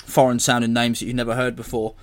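A hoarse man, hosting a casual solo podcast and rambling as he corrects himself. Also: mid-range voice, completely flat delivery, fast. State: hoarse from illness. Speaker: podcast host.

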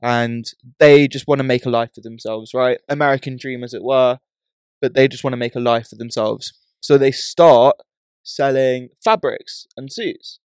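A man's voice: mid-range voice, normal speed, a little mellow, smooth, no echo. A man co-hosting a podcast, telling stories in a chatty, emphatic way with frequent restarts. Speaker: podcast co-host. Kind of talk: casual chat.

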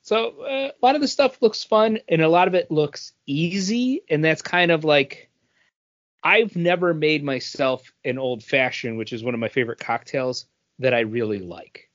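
Guy on a podcast just chatting, voice a bit on the high side, muffled lo-fi sound. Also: normal speed. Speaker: podcast co-host. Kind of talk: casual chat.

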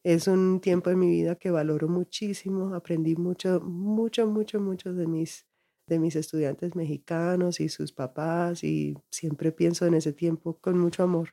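Middle-aged woman being interviewed, kinda low pitched, dark warm sound, thoughtful and chatty. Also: fairly even intonation, normal speed, gravelly. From United States. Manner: reflective, frequent restarts and laughs. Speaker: guest. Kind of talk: personal storytelling in an interview.

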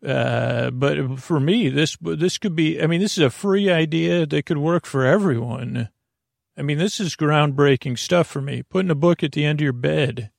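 A man with a creaky voice hosts a bedtime podcast, rambling in a halting, meandering, self-deprecating way full of tangents. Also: a little high, no echo, studio quality. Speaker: podcast host.

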